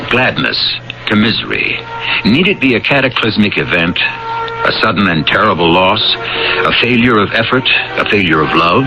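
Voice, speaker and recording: A man hosting a radio mystery drama with a theatrical scripted introduction, deliberate, rhetorical and ominous. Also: fairly low pitch. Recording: dull radio recording with music.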